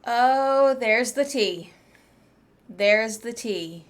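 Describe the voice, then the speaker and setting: A woman's voice: on the high side, lively intonation, drawn-out words, background noise. A woman game streamer giving chatty, informal live commentary on a story playthrough.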